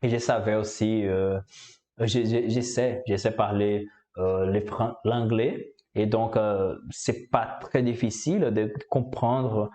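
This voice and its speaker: A man from Brazil talks through how he learned French, his Brazilian Portuguese accent plain in every word. He speaks slowly, stopping often on fillers and repeating words as he searches for them. His voice is dark and mellow. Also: fairly low pitch, fairly even intonation. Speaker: language learner. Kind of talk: casual explanatory talk.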